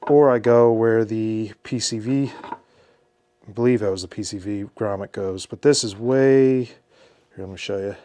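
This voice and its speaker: Stuffy-nosed guy with a head cold talking through his engine work in the garage, lowish voice, easygoing, restarts a lot. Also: normal speed, dark tone. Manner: laid-back, thinks aloud with restarts. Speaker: car builder filming his shop project. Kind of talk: casual how-to narration. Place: cluttered home garage shop.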